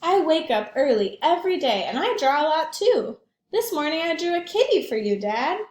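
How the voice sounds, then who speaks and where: Woman voicing an eager, high-pitched little storybook girl. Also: big pitch swings, reverberant. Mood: eager, curious. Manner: bright storybook exclaiming, drawn-out emphasis. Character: eager, affectionate young girl talking to her daddy.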